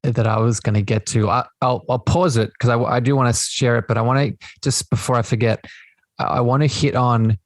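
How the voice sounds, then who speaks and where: A man's voice: medium pitch, steady tone, a little fast, no echo. A man hosting a spiritual podcast, talking in a reflective way and often checking in with "right?". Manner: reflective, tags thoughts with "right?". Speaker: podcast host. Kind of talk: spiritual conversation.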